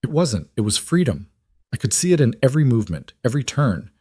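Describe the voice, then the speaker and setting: A man's voice: medium pitch, sing-song, quick pace, dark tone, slightly rough, dry recording, voice pushed up. A man voicing an intense mafia boss in an audio romance, direct and earnest, a little socially awkward.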